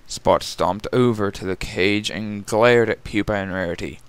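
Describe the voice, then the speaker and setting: A man's voice: fairly low pitch, measured pace, clear, resonant tone, no echo. A man narrating a scripted story as a dramatic reading. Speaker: narrator and reader.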